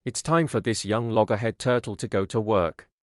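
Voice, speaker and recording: A man's voice: fairly low pitch, normal speed, a little mellow, dry recording, studio quality. A man reading scripted practice passages aloud as a narrator.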